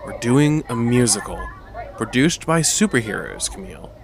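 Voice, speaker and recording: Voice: mid-range voice, big pitch swings, moderate pace, a crowd in the background. An actor playing a harried, accommodating superhero director of a musical in an audio drama.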